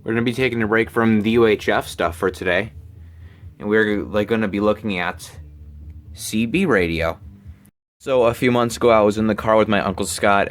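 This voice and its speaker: A young man giving a casual show-and-tell, talking haltingly and often repeating his words. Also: lowish voice, a bit bright. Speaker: hobbyist presenter. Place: bedroom, indoors. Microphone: handheld camera, close behind it.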